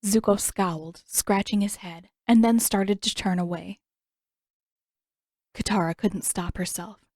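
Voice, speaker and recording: A woman's voice: medium pitch, steady tone, unhurried, a little mellow, no echo. A woman reading scripted fiction expressively as a storyteller, close to the microphone. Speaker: podfic narrator and author. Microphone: close microphone, dry room.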